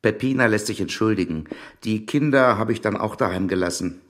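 An actor playing a middle-aged, smooth-talking and insistent shopkeeper in a German radio play, familiar and coaxing in manner. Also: male voice, lowish voice, fairly quick, natural voice.

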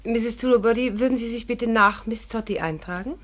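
An actress playing a clever, courteous amateur sleuth who also narrates a 1950s radio play, earnest and articulate. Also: female voice, on the high side, moderate pace, a bit bright. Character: clever, polite amateur sleuth bluffing as secretary. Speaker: heroine and narrator.

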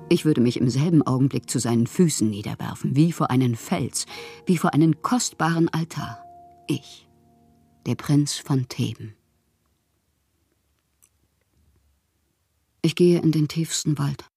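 An actress playing a proud, lovelorn woman poet in a literary radio drama monologue, theatrical and intimate with a husky, breathy voice. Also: sits low, normal speed, warm tone, soft background music, heavily acted. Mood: desolate, proud. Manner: theatrical, poetic, intimate, at times whispering. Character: wounded, proud, lovelorn woman poet styling herself a prince.

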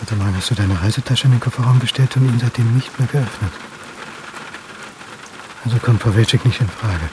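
An actor playing an anxious male academic who talks to himself in halting, clipped phrases in a radio play. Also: mid-range voice, natural voice. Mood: tense, agitated. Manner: halting, clipped self-talk. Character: anxious male history lecturer talking to himself. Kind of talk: radio play inner monologue. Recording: noisy outdoor scene with rain.

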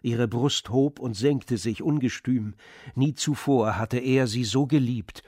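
A man reading scripted literary narration for a radio play in a measured storytelling manner. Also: medium pitch, a little mellow, no echo, clean studio sound.

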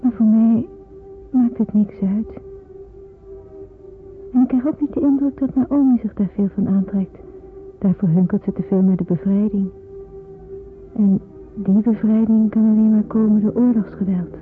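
An actress playing a devout, calm young woman in wartime hiding, narrating her thoughts in a measured, dreamy way for a radio play. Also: mid-range voice, music in the background, close to an everyday voice. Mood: calm, resolute. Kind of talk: radio play acting with reflective inner narration. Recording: tinny, noisy old radio play recording.

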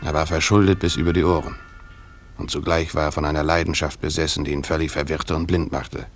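A brooding former tutor narrating a radio play. His deep, gravelly voice is reflective and halting, over faint music. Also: flat delivery. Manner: reflective, halting, self-questioning.

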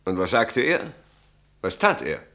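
An actor playing a brusque army captain in a German radio play, questioning curtly and with authority. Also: male voice, fairly low pitch, sing-song, measured pace, bright, forceful tone, full character voice. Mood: probing, stern. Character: brusque, commanding army captain interrogating witnesses.

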